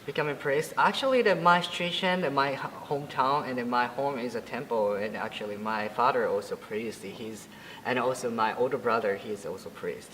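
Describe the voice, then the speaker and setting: A man in his twenties from Japan, speaking English with a Japanese accent as a Buddhist priest being interviewed, halting and full of fillers and restarts. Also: mid-range voice, sharp tone, long reverb tail, slight background noise, rough recording. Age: 20s. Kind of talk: interview answers.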